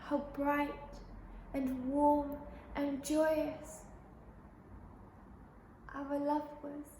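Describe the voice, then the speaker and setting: A woman playing a weary, wounded young actress in a halting, drifting stage monologue. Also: sits high, flat delivery, warm tone, reverberant, slight background noise, exaggerated voice. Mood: tender, grieving. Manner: halting, repeating, drifting between thoughts. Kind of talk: stage monologue, classic tragic drama.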